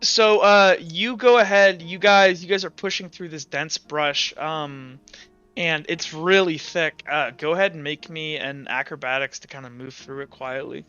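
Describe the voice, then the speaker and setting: A man narrating a tabletop role-playing game as game master, improvising in a casual, halting way. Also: a little high, steady tone, sharp tone, smooth, muffled recording. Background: faint music.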